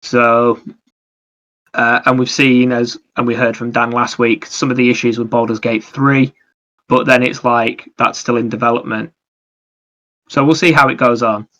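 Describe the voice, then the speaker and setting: Male podcast host, bright edge to an average-pitched voice, casual and explanatory, dull microphone sound. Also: little pitch movement, moderate pace. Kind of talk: casual chat about video games.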